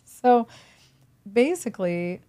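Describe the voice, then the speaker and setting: A woman's voice: a little high, animated, slow pace, warm tone. A woman in her fifties, a personal trainer and gym owner, chatting in an upbeat, easygoing way in an interview. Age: 50s. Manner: upbeat and chatty, laughs easily. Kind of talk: casual interview chat.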